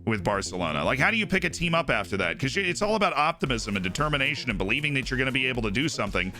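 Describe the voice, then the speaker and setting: A man's voice: mid-range voice, sing-song, rapid-fire, pushed and bright, faint music behind. A young man streaming live, chatting casually and opinionatedly about football, with lots of fillers and asides.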